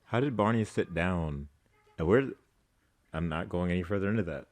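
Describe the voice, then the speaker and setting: Low-voiced man doing casual reaction commentary. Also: normal speed. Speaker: reaction video host.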